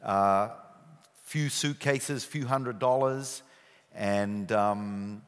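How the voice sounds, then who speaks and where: A middle-aged man with a New Zealand accent, a guest speaker telling his story in a relaxed, joking way with many fillers. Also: on the low side, very slow, smooth. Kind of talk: church talk. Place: church hall. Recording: reverberant church hall.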